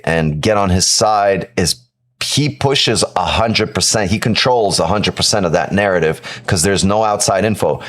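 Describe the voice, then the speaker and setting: A fairly low-voiced man from Ukraine talks off the cuff on his live stream, answering viewers' comments. He argues emphatically, circles back over his own phrases and keeps checking in with "right?". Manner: emphatic, repeats himself, many "right?" tags. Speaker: live-stream trading host. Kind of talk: casual live-stream talk answering chat.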